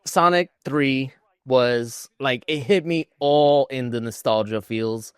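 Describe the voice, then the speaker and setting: A man's voice: mid-range voice, takes it slowly, smooth, no room sound, studio quality. A man co-hosting a podcast in casual panel chat, rambling along with enthusiasm. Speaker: podcast co-host.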